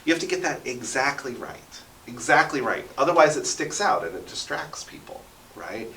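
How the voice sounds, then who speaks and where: A man's voice: medium pitch, sing-song, normal speed, fairly bright tone, slight background noise. A man talking casually as an interview guest, explaining things and often checking in with "right?". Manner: explanatory, punctuates points with "right?". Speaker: interview guest, radio drama writer and sound effects performer. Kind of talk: casual animated interview talk. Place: radio station studio. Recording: roomy studio echo, distant mic.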